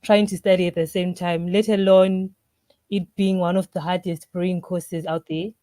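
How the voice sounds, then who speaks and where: Mellow Zimbabwean woman, lowish voice. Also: level tone, normal speed, no room sound. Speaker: interview guest, craft brewer. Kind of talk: casual interview answers.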